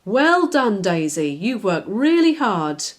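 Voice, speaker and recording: A woman's voice: medium pitch, very animated, slow pace. A woman voicing a kindly, encouraging father in a children's storybook, giving simple instructions. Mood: pleased.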